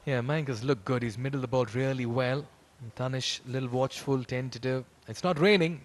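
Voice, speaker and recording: A man's voice: medium pitch, normal speed. A man giving live cricket commentary mixed with casual chat. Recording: clear background noise, live ground ambience.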